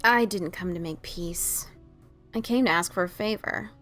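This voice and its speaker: A woman's voice: fairly low pitch, moderate pace, a bit bright, faint music behind, natural voice. A woman playing a brash but self-doubting pegasus pony adventurer, with hesitant, stammering, casual delivery in an audio drama. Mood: defensive. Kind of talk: audio drama dialogue of fan fiction.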